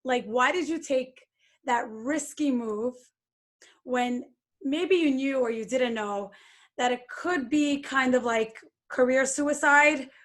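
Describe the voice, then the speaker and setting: A woman with a high, light voice hosting a podcast. She encourages her guest and asks long, winding questions in easy conversation. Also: slow, fairly bright tone. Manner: supportive, asks rambling questions. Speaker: podcast host. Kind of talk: conversational interview.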